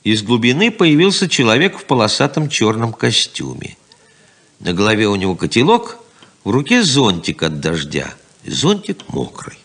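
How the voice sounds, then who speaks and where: A man's voice: fairly low pitch, huge pitch swings, normal speed, a bit bright. A man narrating a scripted radio play in a dramatic style.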